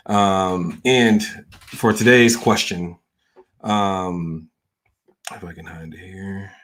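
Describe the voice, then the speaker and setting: A man with a very low voice from the American South talks straight to his viewers. His delivery is loose and unhurried, full of ums, "you know"s and half-started sentences he circles back to. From Southern United States. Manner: hesitant, rambling, many fillers and restarts. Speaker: channel host. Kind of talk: casual personal monologue.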